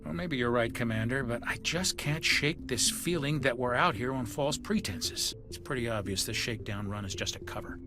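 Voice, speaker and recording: A man's voice: mid-range voice, moderate pace, hardly any pauses, over music, natural voice. Male actor as distrustful military navigator, mid-range voice, grumbling sci-fi game delivery, prominent score underneath. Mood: wary, grumbling. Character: wary, suspicious male military navigator on a spaceship. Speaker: ship's navigator and officer. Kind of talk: video game voice acting.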